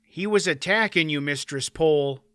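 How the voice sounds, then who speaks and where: A man's voice: a little high, normal speed, bright, forceful tone, clear, resonant tone, no echo, clean studio sound. A man doing a blunt, stubborn blacksmith. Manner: blunt and earnest. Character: stubborn, plain-spoken blacksmith. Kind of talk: audiobook character voice.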